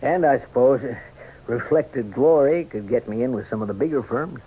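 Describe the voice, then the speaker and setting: An actor playing an adoring, jealous, earnest politician husband in a radio drama. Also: male voice, medium pitch, normal speed, slightly rough, lightly acted. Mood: tender, jealous. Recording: tinny, faintly noisy old radio recording.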